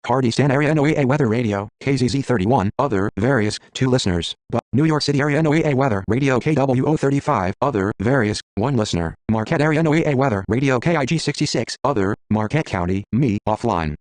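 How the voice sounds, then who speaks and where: A man's voice: medium pitch, animated, quick pace, hardly any pauses, darkish tone, dry recording. A synthetic male text-to-speech voice working as a screen reader, reading out list entries in a mechanical, clipped, automated way. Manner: mechanical, clipped, reads out letters and numbers evenly. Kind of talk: flat automated list readout.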